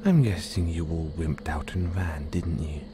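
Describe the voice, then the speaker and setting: A man playing a playful, menacing, possessive werewolf in an audio roleplay, teasing the listener in a breathy, half-whispered, predatory way. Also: low voice, a little slow, noisy background. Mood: teasing, sinister.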